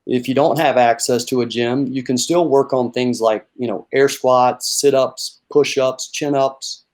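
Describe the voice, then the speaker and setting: Young guy, flat even voice, laid back, lots of um and you know. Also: mid-range voice, a little slow. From North Carolina. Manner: relaxed, with many fillers and repeated words. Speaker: strength and conditioning coach. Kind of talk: casual interview answers.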